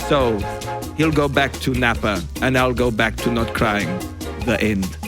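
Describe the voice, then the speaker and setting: A man's voice: mid-range voice, unhurried, music in the background, natural voice. A man voicing a melodramatic, gossipy woman with a wandering, put-on Eastern European accent in an amateur scene. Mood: weary, dramatic. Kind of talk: hammy amateur scene reading.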